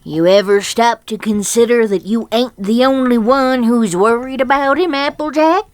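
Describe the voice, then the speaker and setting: Casting: woman playing elderly farm granny pony, plain-spoken, firm yet kind, higher-pitched, dry close sound. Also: moderate pace, dry recording, natural voice. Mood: stern. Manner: folksy, plain-spoken rural speech. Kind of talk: dramatic fan fiction reading.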